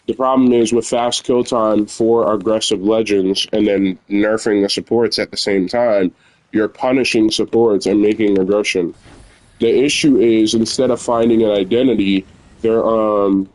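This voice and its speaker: A young man with a naturally loud voice, chatting candidly and rambling while he plays a video game at home. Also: fairly low pitch, poor audio. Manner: rambling, candid, filler-heavy. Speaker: gamer streamer. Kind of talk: casual gameplay commentary.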